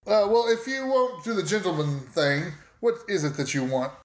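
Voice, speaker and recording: Player voicing a wry, genteel gentleman negotiator. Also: mid-range voice, moderate pace, reverberant, pitched above the performer's own voice, close to an everyday voice. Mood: wry, bargaining. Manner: genteel, old-fashioned, wry. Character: dapper gentlemanly dark negotiator, wry and unflappable. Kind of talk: tabletop role-play in character.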